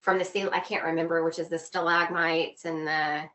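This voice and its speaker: A woman guest on a video call, chatting informally and telling anecdotes in an animated way. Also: low voice, a little fast. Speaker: podcast guest. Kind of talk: casual chat, telling travel anecdotes.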